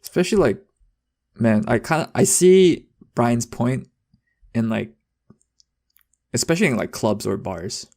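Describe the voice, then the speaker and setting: A young man with noticeable vocal fry in a mid-range voice. He co-hosts a podcast and talks informally, wandering through anecdotes in loose slang. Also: medium pitch, very animated, measured pace, pauses often, a little mellow. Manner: informal, rambling. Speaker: podcast co-host. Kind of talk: casual chat, anecdotes with slang.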